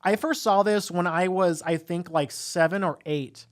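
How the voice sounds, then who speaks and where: A man co-hosting a casual, joking movie podcast, telling stories in an animated way and acting out quotes. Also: high voice, moderate pace. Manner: animated storyteller, acts out quotes. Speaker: podcast co-host. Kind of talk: casual joking film chat.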